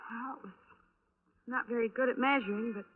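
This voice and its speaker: A woman's voice: on the high side, fairly even intonation, a little fast, close to an everyday voice. A woman acting in a 1950s radio crime drama, playing an upset, defensive young working woman who insists and protests. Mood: hesitant. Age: young adult. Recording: tinny, band-limited vintage radio recording.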